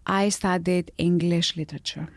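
A woman's voice: fairly low pitch, steady tone, moderate pace, no room sound, studio quality. A middle-aged woman from Greece, telling her story as an interview guest, with many ums and restarts. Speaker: guest, founder of a beauty brand.

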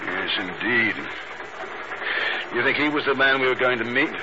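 An actor playing a proper, puzzled intelligence colleague with a polite manner, in 1950s radio drama style. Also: male voice, medium pitch, normal speed, lightly acted. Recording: muffled, very noisy old radio recording.

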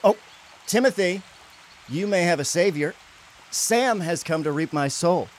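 A man's voice: high-pitched, animated, measured pace, slight background noise. Taunting, theatrical teenage boy with swooping delivery played by a man. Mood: smug, mocking. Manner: mock-dramatic, teasing, drawling. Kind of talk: audio drama acting.

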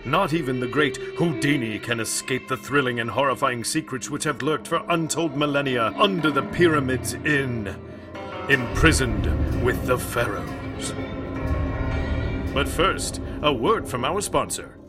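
A man plays a vintage radio host and pitchman with a mid-range voice. His delivery is polished, deliberate and dramatic, and music plays clearly behind him. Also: lightly acted. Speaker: host and narrator. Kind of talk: 1930s radio narration.

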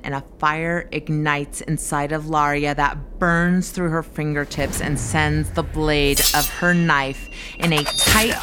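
A woman's voice: deep voice, background noise. A woman narrating a scripted science-fiction audio drama in a dramatic storytelling style. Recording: music under the narration. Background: dramatic music.

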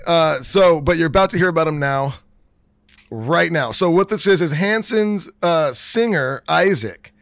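A man's voice: a little high, lively intonation, fairly bright tone, no echo, clean studio sound. A man co-hosting a casual talk show who is losing his voice, hesitantly reading out a news article. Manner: hesitant, reads an article slowly. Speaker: podcast co-host.

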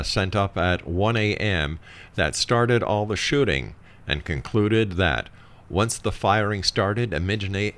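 Male radio host, fairly deep register, emphatic presenter delivery in short phrases. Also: a bit bright. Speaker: radio talk show host. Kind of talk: radio presenting, announcing and reading notes.

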